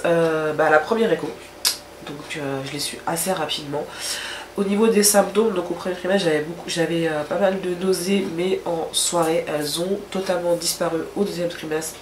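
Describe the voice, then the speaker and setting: A woman's voice: lowish voice, echoey room, a little hiss or hum. A woman with a stuffy, nasal voice from a cold, chatting casually to camera in a rambling way with many hesitations. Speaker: vlogger.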